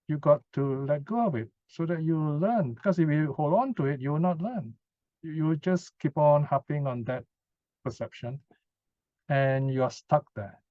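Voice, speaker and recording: Mellow man teaching, dry muffled recording. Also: a little high, no echo. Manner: conversational, checks in with short tag questions. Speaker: Buddhist teacher. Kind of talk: informal teaching talk answering audience questions. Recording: dull lo-fi sound, dry.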